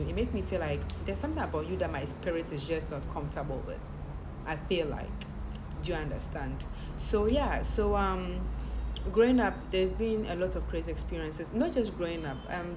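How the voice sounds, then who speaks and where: A woman talks frankly to camera in a personal monologue, often repeating words and restarting her sentences. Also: low-pitched. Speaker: content creator and TV presenter. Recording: steady background noise.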